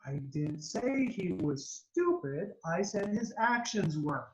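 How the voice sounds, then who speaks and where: A man reading the part of a blunt, logical father at a screenplay table read, brisk and matter-of-fact. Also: sits high, sing-song, a little hiss or hum, full character voice, rough recording.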